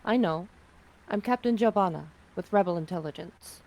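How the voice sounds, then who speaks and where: A woman's voice: lowish voice, moderate pace, darkish tone, lightly acted. A woman playing a skeptical young alien rebel captain, firm and curt, in a sci-fi table read. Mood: firm, frank.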